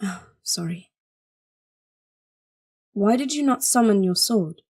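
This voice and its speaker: A woman's voice: mid-range voice, lively intonation, slow pace, a little mellow. Woman playing a fierce, protective young princess. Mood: concerned, determined. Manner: imperious, clipped commands. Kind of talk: audio drama acting.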